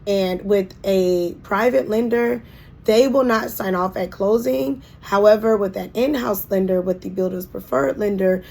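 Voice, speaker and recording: A woman real estate agent talks through financing choices in a relaxed, conversational way. Her voice is hoarse, and she pauses often with ums and restarts. She sounds friendly and down to earth. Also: medium pitch. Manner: chatty and warm, with frequent ums and restarts. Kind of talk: casual explainer video.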